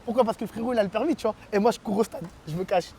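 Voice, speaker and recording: A man's voice: sits high, racing, slightly rough. A man, animatedly telling stories as an interview guest, constantly checking that the listener follows. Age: 20s. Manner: animated storytelling, frequent "tu vois". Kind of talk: casual street slang chat.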